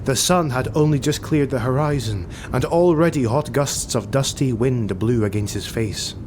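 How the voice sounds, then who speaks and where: A man's voice: mid-range voice, moderate pace, darkish tone, slight background noise. A man narrating a fantasy audiobook in a scripted, dramatic style.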